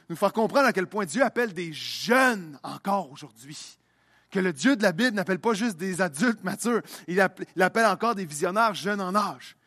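High-voiced young preacher. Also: male voice, fairly bright tone. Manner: preaching with rising intensity, repeating phrases. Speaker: pastor. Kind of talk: sermon.

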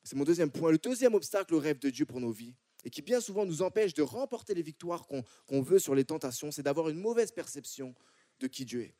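Mellow Frenchman preaching a sermon. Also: fairly high pitch, big pitch swings, brisk, no room sound, clean studio sound. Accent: French from France. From France. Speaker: church preacher. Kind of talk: sermon to a congregation.

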